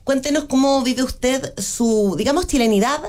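A woman hosting an interview, asking measured, well-prepared questions that cite facts. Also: sits high, a little mellow. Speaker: interviewer, host.

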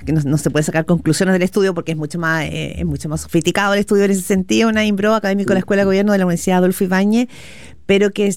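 A woman's voice: mid-range voice, fairly even intonation, racing, darkish tone, no echo, studio quality. A woman with a Chilean accent, speaking conversationally as a radio interview host.

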